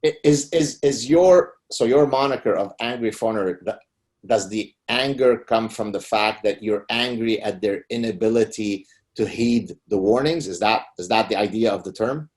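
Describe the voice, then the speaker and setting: A man's voice: normal speed, darkish tone. A middle-aged man from Lebanon whose first language is Arabic, speaking emphatically as a guest in an interview. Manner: emphatic, rising pitch on key points. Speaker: guest author. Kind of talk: conversational interview.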